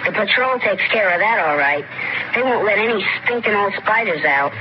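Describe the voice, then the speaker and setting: Played by an actor, a bold young farm boy asks blunt, pointed questions in a vintage radio play. The recording is dull and muffled. A loud hiss sits beneath it. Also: medium pitch, expressive, moderate pace, lightly acted. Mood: curious. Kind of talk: 1950s radio drama acting.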